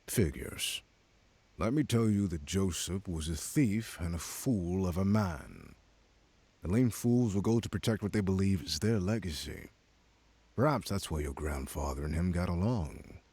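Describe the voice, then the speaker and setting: An actor playing a sinister, smug crime boss in a video game, condescending, measured and cryptic. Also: male voice, sits low, expressive, vocal fry, darkish tone, breathy edge, no room sound, heavily acted. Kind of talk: scripted video game voice acting.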